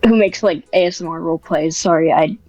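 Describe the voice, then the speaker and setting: A woman's voice: on the low side, a little fast, darkish tone, dry recording. A woman guest on a podcast, talking casually and hesitantly about writing ASMR scripts, with lots of fillers and restarts. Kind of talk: casual interview chat. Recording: muffled, dry, faint music behind.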